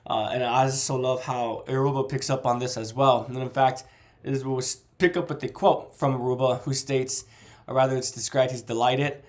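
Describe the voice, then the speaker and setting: A man's voice: medium pitch, fairly even intonation, moderate pace. A man with a cold, sounding congested and a bit nasal, casually discussing a novel chapter and often correcting himself. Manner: rambling, self-correcting. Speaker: book reviewer.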